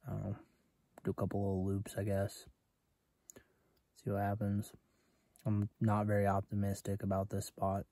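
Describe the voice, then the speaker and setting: Low, mumbly outdoor vlogger man. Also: moderate pace, long pauses, no echo. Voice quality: slightly raspy. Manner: relaxed and mumbly, trailing off quietly, bursts of excitement. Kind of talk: casual solo vlog narration. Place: outdoors in open sagebrush hills.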